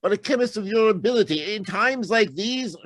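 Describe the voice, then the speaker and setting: Man playing an animated, incredulous colleague. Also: high-pitched, normal speed, no echo. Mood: incredulous, urging. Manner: emphatic, questioning, persuasive. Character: incredulous, reasoning male colleague of a scientist. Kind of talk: old-time radio drama acting.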